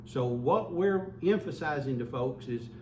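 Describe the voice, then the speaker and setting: A man's voice: medium pitch, animated, measured pace, darkish tone. A man giving an earnest public health update as a local official, often repeating words as he restarts. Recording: echoey room, mic far off, faint hum.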